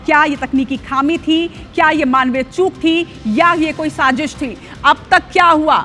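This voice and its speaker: A woman presents breaking television news in a high, forceful voice. Her delivery is urgent and emphatic, hammering key points as a live anchor does. Soft music runs faintly behind her. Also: unhurried.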